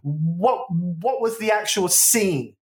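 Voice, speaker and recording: A man in his forties hosts a casual podcast with a higher-than-usual voice. He rambles, backtracks and jokes about himself, with a loose, easygoing way of talking. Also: expressive, slow. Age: 40s. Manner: rambling, self-deprecating, jokey. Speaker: podcast host.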